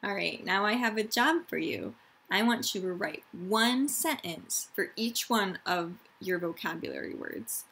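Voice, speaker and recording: A woman teacher reading a picture book aloud to children in an expressive, deliberate storytelling manner, with explanatory asides. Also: medium pitch, creaky. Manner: dramatic, deliberate storytelling delivery, addressing children directly.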